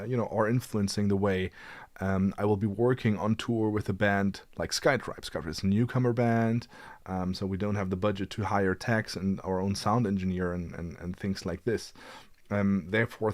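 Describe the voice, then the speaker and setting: A man in his late twenties with a fairly low voice, recorded close and dry. He hosts a casual livestream, rambling a little and often doubling back on his words as he gathers his thoughts. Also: no echo. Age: late 20s. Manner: hesitant, rambling delivery with repeated words. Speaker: livestream host, guitarist.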